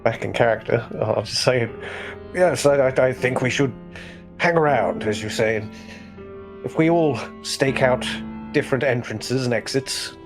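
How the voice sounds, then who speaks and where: A man playing a tabletop game, talking in character with measured, slightly formal phrasing. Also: medium pitch, over music. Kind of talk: in-character roleplay.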